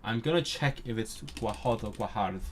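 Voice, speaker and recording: A man's voice: fairly low pitch, moderate pace, a little hiss or hum. A man whose first language is Cantonese, chatting casually to viewers on a live stream, nerdy and eager, often tripping over words and starting them again.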